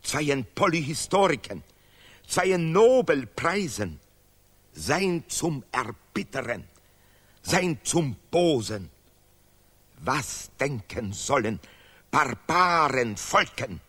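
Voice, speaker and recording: An actor playing a pompous, sentimental patriotic scholar in an absurdist radio play, declaiming haltingly in broken grammar. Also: male voice, mid-range voice, big pitch swings, fairly bright tone, husky, full character voice. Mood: solemn. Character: pompous male Nobel laureate scholar, bombastic, sentimental patriot.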